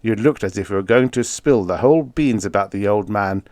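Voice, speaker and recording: A man's voice: on the low side, fairly quick, darkish tone, dry recording, close to an everyday voice. A man voicing a contemptuous, scheming male scientist in an audiobook, curt and condescending. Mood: angry, scornful. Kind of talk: audiobook character acting.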